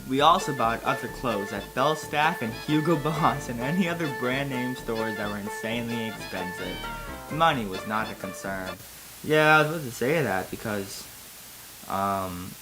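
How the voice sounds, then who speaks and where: A man's voice: mid-range voice, moderate pace, rough recording. Man, casual dramatic reading, amused asides, host, faint music behind. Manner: amused, breaks off into wry asides. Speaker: host reading fan fiction aloud. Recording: music behind the voice, fluctuating quality.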